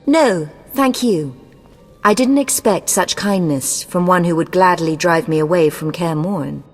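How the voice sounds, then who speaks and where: A proud, uncompromising sorceress with a fairly low voice. She speaks formally and cuttingly, every bit the imperious schemer of a fantasy game. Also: measured pace, faint music behind. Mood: cool, haughty. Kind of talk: video game voice acting.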